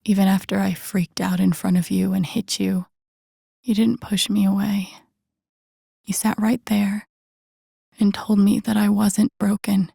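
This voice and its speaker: A woman's voice: medium pitch, creaky, dark tone, slightly rough, no echo, clean studio sound, exaggerated voice. A woman playing an earnest, wry, vulnerable young video game heroine, in an introspective, understated inner-monologue style. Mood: grieving, vulnerable. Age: young adult.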